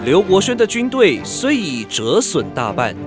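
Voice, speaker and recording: A woman's voice: fairly low pitch, sing-song, unhurried. A woman narrating a radio drama in a dramatic, scripted storytelling style. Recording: loud music behind.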